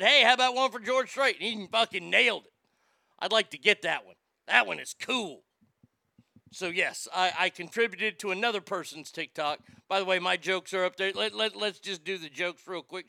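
High, bright-voiced man hosting morning radio. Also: gravelly, dry recording. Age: 50s. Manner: crude, joking. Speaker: radio show host. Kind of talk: morning radio show banter.

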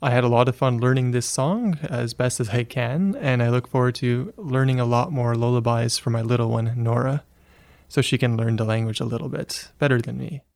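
A man's voice: medium pitch, a little fast, gravelly, a little mellow. A man whose first language is Polish, talking casually and personally. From second-generation Polish family. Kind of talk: casual talk.

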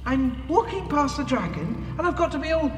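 A man's voice: very high voice, expressive, moderate pace, faint music behind. A man playing an anxious, bumbling, sarcastic reluctant hero in a comedy fantasy audio drama, hesitant and flustered. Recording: echoey reverberant space with clear noise.

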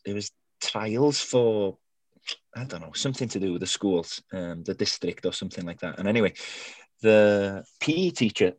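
A man's voice: on the low side, dry recording. A Welsh man whose first language is Welsh, telling chatty, laughing stories as a footballer guest on a video call. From North Wales. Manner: chatty, laughs often, many fillers. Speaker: goalkeeper guest. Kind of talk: casual storytelling interview.

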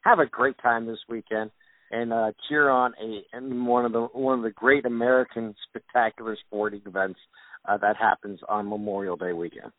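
Podcast host, a man, relaxed and rambling, thin dry line. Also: medium pitch, moderate pace, dry recording. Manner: relaxed, rambling with many fillers. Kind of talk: casual sports interview. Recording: tinny phone-like sound.

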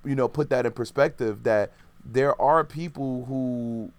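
A man's voice: mid-range voice, a little slow, clean tone, dry recording. A man in his thirties in casual podcast chat, rambling and opinionated, often correcting himself mid-sentence.

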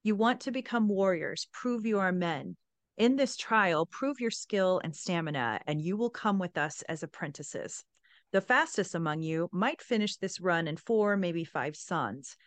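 A woman voicing a stern male warrior leader, reading formal instructions in a measured, commanding storybook manner. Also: fairly low pitch, expressive, dry recording.

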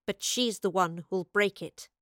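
A woman's voice: mid-range voice, a little slow, fairly bright tone, dry recording, studio quality, natural voice. A woman voicing a weary but firm female officer in a story, serious, urgent and plain-spoken. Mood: grave accusation.

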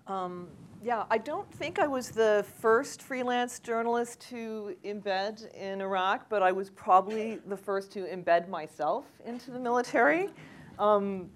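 A woman, a freelance journalist giving a measured, anecdotal personal account during a panel discussion. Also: medium pitch. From Canada.

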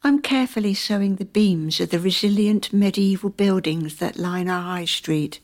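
Woman acting in a radio play, proud earnest local campaigner type, medium pitch. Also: normal speed, lightly acted. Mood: reflective. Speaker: community theatre actor.

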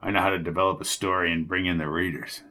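A man voicing a gravelly, chain-smoking older male newspaper reporter who needles everyone in hard-boiled slang. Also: sits low, fairly even intonation, fast talker, sharp tone, pitched below the performer's own voice. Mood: needling. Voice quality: raspy, gravelly.

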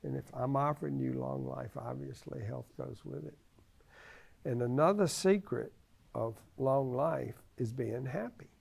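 Older guy in his 70s, gravelly creaky voice, talks slow n halting, repeats himself teaching about faith. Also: medium pitch, darkish tone. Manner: halting, repeats phrases for emphasis. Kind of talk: conversational religious teaching.

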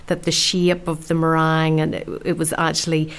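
Irish lady radio host, fairly low voice, chatty, presenter patter. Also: monotone, moderate pace, smooth. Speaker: radio food show host. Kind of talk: radio interview and links.

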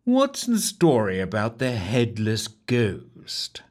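A cheerful, curious gentleman with a polished way of speaking, as a man plays him. His pitch moves in a lively way, and he takes his time. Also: slow pace, full character voice. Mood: eager.

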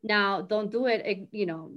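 A woman's voice: mid-range voice, moderate pace, highs cut off. A woman speaking as a guest in a casual interview, talking freely and often adding "you know" and "right?". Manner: talkative, peppers speech with "you know" and "right?".

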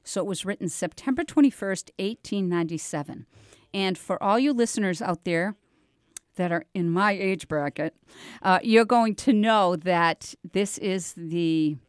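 A woman's voice: lowish voice, clear, resonant tone, no echo, studio quality. An older American woman hosting a casual radio talk show, chatty and conversational, speaking directly to her listeners. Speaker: radio host. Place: radio studio.

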